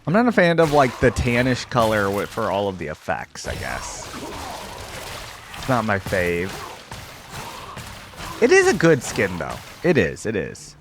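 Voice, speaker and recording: A man's voice: lowish voice, wildly swooping pitch, unhurried, pauses often, music in the background. A young man hosting a casual reaction video, thinking aloud as he watches, with frequent exclamations and laughs.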